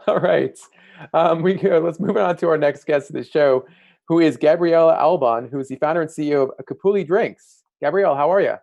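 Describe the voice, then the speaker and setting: A man's voice: on the high side, normal speed, vocal fry, darkish tone, no room sound, muffled recording. An American man in his thirties hosting a casual livestream interview over a video call. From United States. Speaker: livestream host. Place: indoor room. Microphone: earbud headset on video call.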